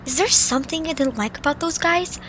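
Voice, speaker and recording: A woman voicing a headstrong, earnest and excitable young girl creature in an amateur cartoon audio drama. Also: high voice, fairly quick, slight background noise, low-quality recording. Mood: suspicious, serious.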